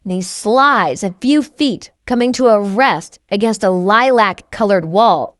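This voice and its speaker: A woman's voice: mid-range voice, a little slow, no echo, studio quality. A woman reading first-person scripted novel narration as an audiobook narrator.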